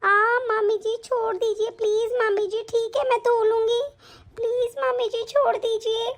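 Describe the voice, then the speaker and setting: A woman's voice: extremely high pitch, level tone, measured pace, darkish tone, pitched above the performer's own voice. A woman voicing a timid young girl who pleads and whines in a family skit. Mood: pleading. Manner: whiny, pleading. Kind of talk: comic family skit acting.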